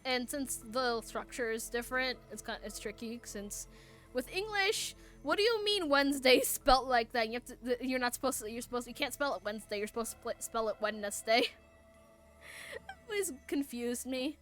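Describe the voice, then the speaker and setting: A woman streamer whose first language is Spanish reads game text aloud and chats between lines. Her voice sits slightly high, and she trips over words and restarts often. Soft game music plays underneath. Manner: halting, many restarts and fillers, animated asides.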